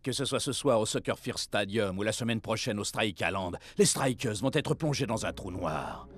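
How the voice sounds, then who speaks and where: An actor voicing a boastful, grandiose football coach in a cartoon, with a pompous manner. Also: male voice, lowish voice, expressive, moderate pace. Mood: smug menace. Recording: music behind the voice.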